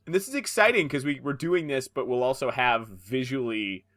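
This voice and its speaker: A man's voice: medium pitch, normal speed, fairly bright tone. A man co-hosting a comic podcast from home over a video call, chatting casually and laughing often. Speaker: podcast co-host and narrator. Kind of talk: casual chat and dramatic reading. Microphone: video call mic.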